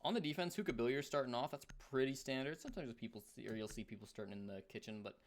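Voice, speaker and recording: A man's voice: medium pitch, fast. A man with a Canadian accent giving live esports commentary in a conversational, analytical way as a caster.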